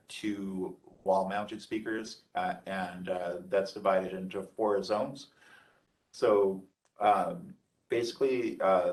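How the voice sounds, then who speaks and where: A man presenting an informal technical lecture as a trainer, speaking haltingly with frequent hesitant pauses. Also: sits low, far from the mic, rough recording.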